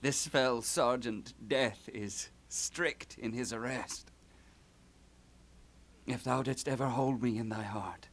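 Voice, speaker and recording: A man playing a dying noble prince in a Shakespearean puppet play, grave and halting, his breathy voice fading into whispers. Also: medium pitch, a bit bright, exaggerated voice. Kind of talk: classical Shakespearean stage acting in a puppet play.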